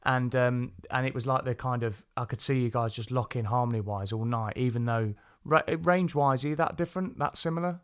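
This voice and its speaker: A man's voice: medium pitch, fairly quick, hardly any pauses, clean tone. A man hosting a music podcast, chatting in a rambling, easygoing way. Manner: rambling, easygoing, many fillers. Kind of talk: casual interview chat.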